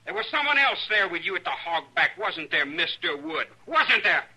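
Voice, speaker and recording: An actor playing a bitter young man bent on avenging his father, with a rough-edged rural Western drawl, in an old-time radio western. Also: high voice, big pitch swings, moderate pace, full character voice. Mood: taunting, challenging. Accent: American rural Western drawl. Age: young adult. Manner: folksy, insistent, accusing. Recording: bright, lo-fi old radio broadcast.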